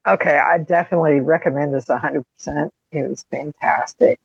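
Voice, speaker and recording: A woman's voice: sits low, steady tone, fairly quick, gravelly, a little mellow, slightly rough, no room sound. A woman co-hosting a podcast, giving emphatic opinions in casual chat over a slightly dull, lo-fi microphone. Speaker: podcast host. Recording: slightly dull, lo-fi mic sound.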